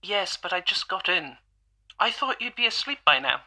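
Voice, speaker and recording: A man's voice: high voice, sing-song, moderate pace, sharp tone, exaggerated voice. A man playing a baffled, doubtful friend taking a frantic call in an old-time radio melodrama, firm and reasoning.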